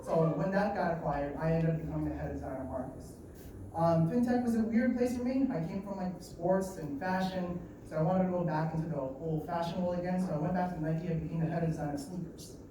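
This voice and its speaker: A man in his late twenties giving a live talk at a design meetup. He talks fast and a bit nervously, with lots of fillers and restarts. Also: a little high. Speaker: guest speaker at a design meetup. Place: meeting room in an office. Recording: echoey room, distant mic, background noise.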